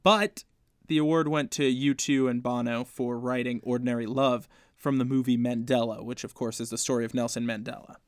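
A man's voice: mid-range voice, fairly quick, clean tone, no echo. Playful male podcast host, dry close sound. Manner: playful, laughs often, animated when quoting. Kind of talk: casual fan podcast chat.

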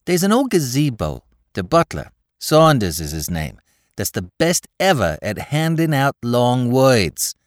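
A man voicing a cheeky, excitable young Bowery crook with a New York accent, talking in rapid slang. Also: mid-range voice, no room sound, clean studio sound, voice pushed up. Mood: excited.

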